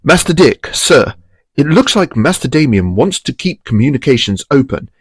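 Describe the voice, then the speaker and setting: A deferential older butler, as a man reading a story puts him on, speaking formally and politely. His voice is husky and creaky, with a dry, close sound. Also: mid-range voice, big pitch swings, moderate pace, no echo. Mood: courteous.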